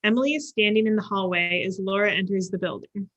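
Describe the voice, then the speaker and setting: A woman reads stage directions as scripted narration. Her voice is bright and clearly forward, sitting at an average female pitch. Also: fast talker, lo-fi sound. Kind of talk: scripted narration at a table read.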